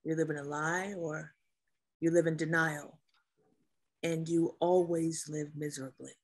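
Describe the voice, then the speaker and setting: A woman acting a weary, bitter naval officer in a drama, speaking bluntly. Her voice is low and husky, with a rough edge. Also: fairly even intonation, measured pace. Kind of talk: dramatic script table reading.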